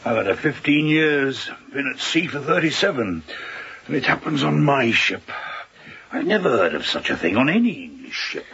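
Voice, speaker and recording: An actor playing an older, plain-spoken and rattled sea captain in a radio drama, husky and rough, halting and repeating himself with emphasis. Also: male voice, mid-range voice, very animated, a bit bright, lo-fi sound, heavily acted. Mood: grave, agitated.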